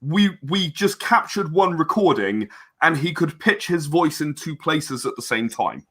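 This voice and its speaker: A man talks as a guest in an interview about his own creative work. His voice sits a little high and has a bright, forward edge. He explains in an effusive, emphatic, wandering way. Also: animated, measured pace, slightly rough. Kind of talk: enthusiastic interview talk about own project.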